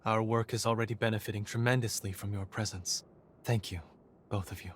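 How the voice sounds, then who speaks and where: An actor playing a courteous, refined male leader in a video game, with formal, measured, polite diction. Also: fairly low pitch, steady tone, a little hiss or hum, close to an everyday voice. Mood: grateful. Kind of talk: video game cutscene acting.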